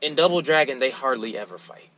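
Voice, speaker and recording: A man's voice: mid-range voice, lively intonation, quick pace, a bit bright. A man co-hosting a podcast, chatting casually and exclaiming often. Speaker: podcast co-host. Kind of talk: casual chat.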